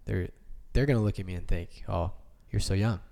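A man's voice: low voice, fairly quick, creaky, mellow. A man in his late twenties explaining his thoughts casually as part of a panel discussion. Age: late 20s.

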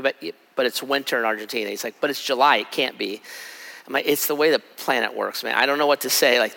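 A middle-aged man preaching a sermon in a conversational way, his voice raspy from travel. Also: medium pitch, bright, forceful tone. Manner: conversational, acts out quick asides. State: raspy from a cold trip. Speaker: pastor. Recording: hall with long reverb.

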